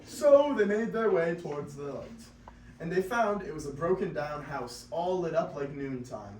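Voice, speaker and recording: A man's voice: fairly high pitch, animated, moderate pace, darkish tone. A teenage boy narrating a scripted story as part of a classroom reader's theater. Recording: echoey classroom, distant mic, faint noise.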